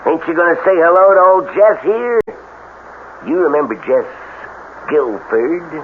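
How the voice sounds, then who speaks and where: A taunting country bully from a vintage radio drama. He needles in a somewhat high male voice with a Southern drawl, nursing a grudge. The sound is boxed-in and phone-like. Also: big pitch swings, unhurried. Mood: mock-friendly. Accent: American Southern. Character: taunting, vengeful backwoods bully. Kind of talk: old-time radio drama acting. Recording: thin, faintly noisy old radio broadcast.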